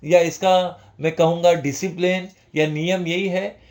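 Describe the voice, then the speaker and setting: A man with a slightly high voice talks viewers through long-term investing. His manner is conversational, and he drops English finance terms into his sentences. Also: level tone, a little slow, a little mellow. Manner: conversational, repeats "dosto", mixes English terms. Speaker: stock market educator. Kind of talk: informal finance talk to viewers.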